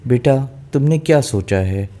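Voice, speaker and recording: A man voicing a loving, poor old father in a fairy tale, speaking gently as if giving advice. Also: medium pitch, animated, moderate pace, voice pushed up, lightly acted. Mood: tender, regretful. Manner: gentle, advising.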